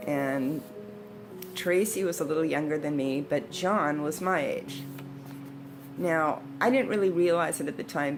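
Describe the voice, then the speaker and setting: A woman in her sixties speaks in a distinctly low register. She reminisces casually and takes her time, in a conversational storyteller's manner. Guitar music plays clearly behind her voice. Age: 60s. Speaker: storyteller, video host. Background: instrumental guitar music.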